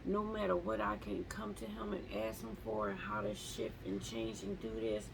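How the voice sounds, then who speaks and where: A woman talking slowly and haltingly in a reflective live journal at home, often repeating words as she searches for them. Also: medium pitch, sing-song, gravelly, noisy background. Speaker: vlogger.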